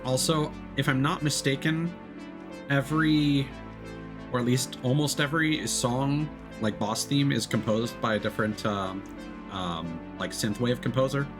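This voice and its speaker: A man's voice: mid-range voice, unhurried. A man streaming a video game, giving casual, off-the-cuff commentary. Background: synth game music.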